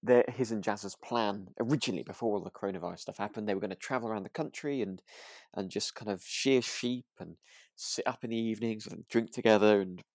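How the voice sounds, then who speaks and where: A man chatting casually as a podcast co-host, with a halting way of restarting his sentences. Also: lowish voice, no room sound. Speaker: actor. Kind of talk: casual chat recapping a radio drama.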